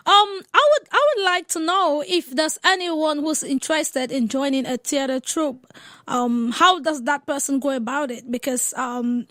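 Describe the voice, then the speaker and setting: A woman's voice: high-pitched, a bit bright, no echo, clean studio sound. A young woman co-hosting a radio interview and putting a question to the guest. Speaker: radio co-host.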